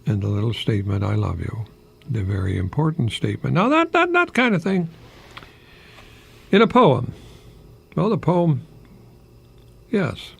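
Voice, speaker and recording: An older American man, a retired professor, giving a folksy, rambling radio monologue in a gravelly, husky voice with pauses mid-phrase. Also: medium pitch, very animated. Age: older, retired, 60s or above. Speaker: retired English professor hosting a radio program.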